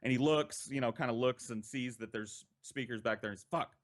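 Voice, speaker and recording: A man's voice: medium pitch, brisk. American man telling a casual story. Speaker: podcast storyteller.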